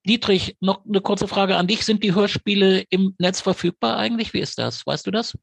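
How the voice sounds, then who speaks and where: A man with a fairly high, gravelly voice hosts a podcast interview. He moderates casually and conversationally, and the sound is close and dry. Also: moderate pace, dry recording. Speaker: podcast host.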